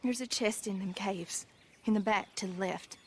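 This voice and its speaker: A woman's voice: moderate pace, slight background noise, natural voice. An actress playing a frantic young mother in a video game cutscene, speaking urgently and pleadingly. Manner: urgent, pleading, shrill in distress.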